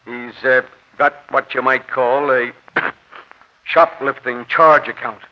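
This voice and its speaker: An actor playing a nervous, placating store detective with hesitant, slangy speech in old-time radio drama style. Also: male voice, mid-range voice, steady tone, takes it slowly, close to an everyday voice. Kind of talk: 1940s radio acting. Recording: dull, noisy old radio recording.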